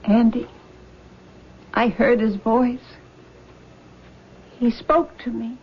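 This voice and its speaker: A high-pitched distraught young woman in a 1970s radio play. She speaks intensely, as if haunted and pushed to the edge. The recording is dull and lo-fi. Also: flat delivery, extremely slow, slightly rough, heavily acted. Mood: dazed, haunted. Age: young adult. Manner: intense, overwrought delivery. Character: distraught, accusing young daughter haunted by dead sweetheart. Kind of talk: 1970s radio drama acting.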